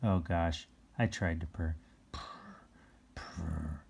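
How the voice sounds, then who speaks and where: A children's read-aloud host with a husky voice, reading a picture book and adding playful exclamations and explanations for young listeners. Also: low-pitched, fairly even intonation, very slow, a little mellow. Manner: exclaims, gasps, chuckles and explains words to children.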